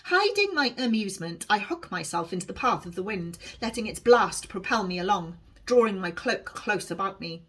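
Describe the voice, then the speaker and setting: A woman reading a novel aloud as its author, in expressive, dramatic storybook narration for young listeners. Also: mid-range voice, normal speed, hardly any pauses, breathy edge.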